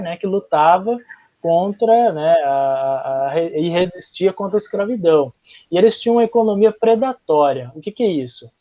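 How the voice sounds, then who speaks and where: A man's voice: high-pitched, darkish tone. A young adult man from Brazil with a Brazilian Portuguese accent, giving a casual classroom history lecture full of fillers and restarts. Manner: chatty, informal, frequent fillers and restarts. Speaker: history teacher. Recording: dull, lo-fi.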